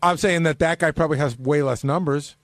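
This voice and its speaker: A man's voice: fairly high pitch, fairly quick, no room sound. A talkative man chatting casually on a radio show panel, reasoning things out aloud. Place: radio studio.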